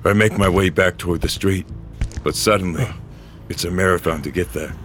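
An actor playing a tormented, brooding man with a gravelly voice in an audio drama. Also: sits low, a little fast, fairly bright tone. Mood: grim, fading. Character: tormented man who remade his own body, brooding narrator-hero. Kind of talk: audio drama acting and first-person narration. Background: music.

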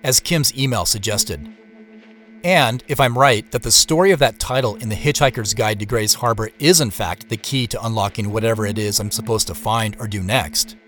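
An actor playing a reflective man who narrates his secretive search in a measured, descriptive way for a scripted audio drama. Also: fairly low pitch, fairly bright tone, natural voice. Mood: focused, intent. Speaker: narrator and lead character.

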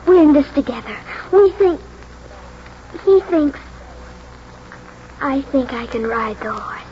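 A woman's voice: high-pitched, animated, takes it slowly, background noise. A woman playing an eager, earnest young horse-loving girl in a 1940s radio drama, speaking breathlessly. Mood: fervent, determined. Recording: muffled old 1940s radio broadcast, music.

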